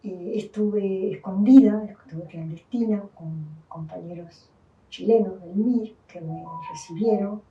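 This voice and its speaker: A woman's voice: medium pitch, a little slow, warm tone. An older woman with a Uruguayan Spanish accent, giving a reflective personal testimony as an interviewee, thoughtful and full of restarts. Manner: thoughtful, with many restarts and fillers. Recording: distant, dull, roomy sound.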